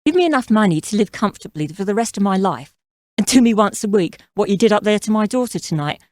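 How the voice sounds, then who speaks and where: A woman's voice: medium pitch, animated, quick pace, darkish tone, dry recording, natural voice. A woman playing a flirtatious, scheming mother-in-law in her thirties in an audio drama, coy and self-assured. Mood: flirtatious, coaxing. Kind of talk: audio drama character acting.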